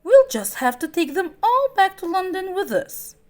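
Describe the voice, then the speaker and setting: A woman's voice: very high voice, very animated, normal speed, darkish tone, pitched above the performer's own voice. A woman voicing an anxious, protective mother dalmatian in a storybook, earnest and emphatic. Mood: resolute.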